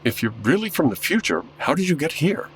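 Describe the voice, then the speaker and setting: A man's voice: fairly high pitch, big pitch swings, a little fast, a little hiss or hum. A man playing an anxious, flustered 1940s man in an old-time radio comedy parody, hesitant and stammering. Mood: subdued, hesitant.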